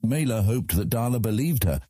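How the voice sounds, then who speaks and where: A man with a husky voice reading scripted fantasy story narration as an audiobook narrator. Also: mid-range voice, lively intonation, normal speed, warm tone, dry recording, studio quality.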